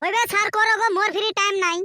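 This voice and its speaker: Brash dim cartoon schoolkid, very high squeaky voice, rapid talk, comic backtalk. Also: fairly even intonation, bright, forceful tone, dry recording, studio quality, full character voice. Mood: cheeky. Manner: brash backtalk in rustic village dialect. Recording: very noisy homemade cartoon dub.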